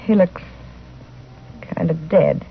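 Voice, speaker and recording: Hardened, unflappable frontier widow. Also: female voice, sits low, slow. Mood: weary, unflappable. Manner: plain, unflappable. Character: hardened, matter-of-fact frontier widow running a boarding house. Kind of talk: 1950s radio western acting. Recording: tinny, noisy vintage radio broadcast.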